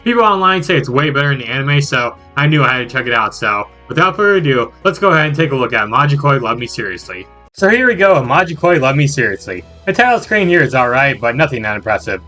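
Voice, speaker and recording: A man's voice: medium pitch, big pitch swings, fast, few pauses, pushed and bright. A man narrating a scripted comedic video review in a playful, exaggerated way with jokey asides. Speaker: video game reviewer, channel host. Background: background music.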